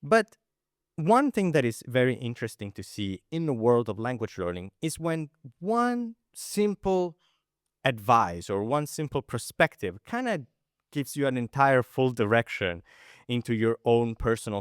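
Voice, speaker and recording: A young man from Italy hosts a podcast conversation with a lively, swooping pitch. He talks with eager enthusiasm, rambling through fillers and restarts. The sound is close and dry. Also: normal speed, smooth, dry recording. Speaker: podcast host. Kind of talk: casual interview chat.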